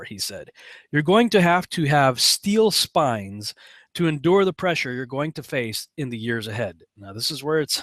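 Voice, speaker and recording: An American man hosts with informal commentary and some reading aloud. His voice sits at a middle pitch. It is recorded dry and close. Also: a bit bright, dry recording. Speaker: host and commentator.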